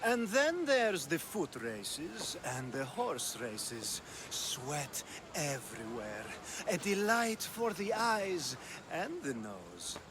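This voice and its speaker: An actor playing a flamboyant, flirtatious Greek aristocrat in a video game, languid and suggestive in a theatrical way. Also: male voice, on the high side, very animated, slow pace, background noise. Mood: suggestive, relishing. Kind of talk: video game cutscene acting.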